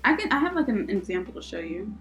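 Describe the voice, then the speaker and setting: A woman's voice: medium pitch, sing-song, fast, muffled recording, soft background music. A young American woman chatting casually and informally as a podcast co-host, with exclamations and a giggly way of talking. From born in Israel, raised in Philadelphia, US. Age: young adult. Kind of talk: casual unscripted chat.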